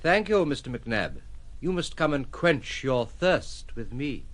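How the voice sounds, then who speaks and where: An actor playing a courteous, playful gentleman adventurer in an audio drama, with polished, formal manners. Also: mid-range voice, big pitch swings, measured pace. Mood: polite, playful.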